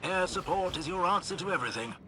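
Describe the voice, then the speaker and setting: An actor playing a dry, dutiful combat soldier in a video game, reporting briskly with a teasing edge. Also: male voice, sits high, animated, sharp tone, exaggerated voice. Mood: dry, alert. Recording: noisy battle and vehicle ambience.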